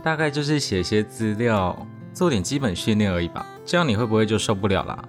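A man's voice: on the low side, expressive, brisk, faint music behind, close to an everyday voice. A Taiwanese man acting a teasing but supportive young army veteran in a radio drama. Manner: teasing, reassuring, explanatory.

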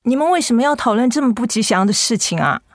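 A woman's voice: fairly high pitch, animated, fast talker, dry recording, studio quality. A woman playing a devoted young adult daughter in a radio drama, emotional and plaintive, reluctant to let go. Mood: upset, pleading. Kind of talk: Mandarin radio drama acting.

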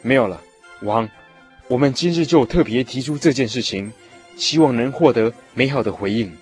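A man playing an earnest, respectful people's leader petitioning his king in a radio drama, formal and husky. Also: medium pitch, moderate pace, darkish tone, faint music behind, lightly acted. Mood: deferential. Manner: formal, deferential. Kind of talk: Bible radio drama acting.

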